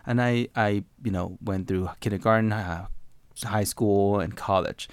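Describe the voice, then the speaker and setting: This is a man in his forties, born and raised in Thailand, speaking English as a second language. His voice sits low, and he talks through his life story in a hesitant way, with many restarts and fillers. The recording is dry and close. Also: steady tone, measured pace, smooth, no room sound. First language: Thai. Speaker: interview guest. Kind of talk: conversational life story interview.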